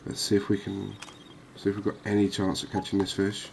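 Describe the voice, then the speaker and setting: Man, low-pitched, flat even delivery, quiet ASMR game commentary, thinking aloud, background noise. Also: a little fast, gravelly. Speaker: gaming video host.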